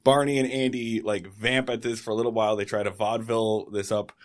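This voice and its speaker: A man's voice: on the low side, fast talker. A man co-hosting a casual podcast, recapping a sitcom episode with jokes and asides. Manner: animated, jokey recapping of an old sitcom episode. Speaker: podcast co-host.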